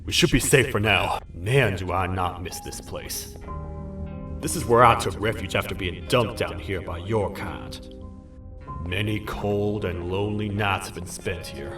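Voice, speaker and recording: A man's voice: low-pitched, a little slow. A man voicing a cocky, world-weary cartoon mascot lawman in a video game, talking in old-time drawling slang. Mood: grim, weary. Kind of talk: video game character dialogue. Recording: echoey, distant, loud music behind.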